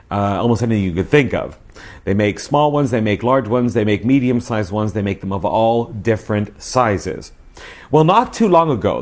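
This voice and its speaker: A man's voice: lowish voice, darkish tone. A man in his forties hosting a casual review to camera in a chatty, emphatic presenter style. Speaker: host of a radio review show. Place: small living room, indoors. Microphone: lapel mic.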